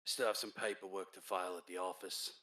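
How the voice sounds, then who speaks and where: A man's voice: deep voice, fairly even intonation, a little fast, pushed and bright. A man playing a polite, reserved office investigator in an audio drama.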